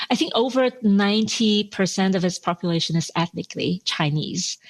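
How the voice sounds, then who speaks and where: A woman's voice: medium pitch, poor audio. A woman in her forties from Hong Kong, a podcast guest telling her own story in a reflective way and laughing often. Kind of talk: personal interview storytelling.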